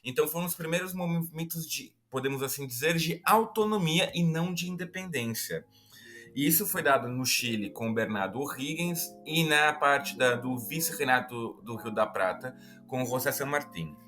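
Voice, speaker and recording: A man's voice: medium pitch, moderate pace, bright, forceful tone. A Brazilian man with a mid-range voice walks listeners through history in an easy, conversational podcast style. He talks in a chatty, explanatory way and drops in self-mocking asides. A faint guitar plays behind him. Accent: Brazilian Portuguese. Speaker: podcast host.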